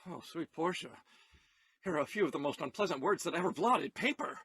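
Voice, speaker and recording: An actor playing an earnest, distraught young gentleman lover in a Shakespearean audio play, with theatrical, declamatory delivery. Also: fairly high pitch, expressive, brisk, breathy edge, dry recording, heavily acted. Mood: anguished confession.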